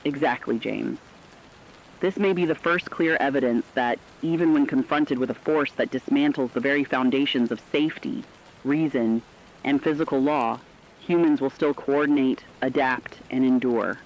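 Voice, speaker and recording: A man playing a solemn, measured radio news anchor delivering formal scripted commentary during an apocalypse. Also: medium pitch, lightly acted. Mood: solemn, earnest. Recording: dull lo-fi audio with rain noise.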